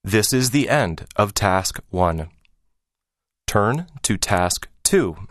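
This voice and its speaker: An exam announcer reading scripted test instructions in a measured, formal manner. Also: deep voice, animated, no echo, clean studio sound.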